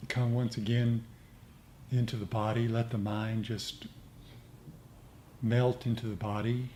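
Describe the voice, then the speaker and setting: A man gently instructing a meditation group in an informal, conversational way. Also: medium pitch, flat delivery, measured pace. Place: indoor meditation group meeting. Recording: clearly noisy room recording.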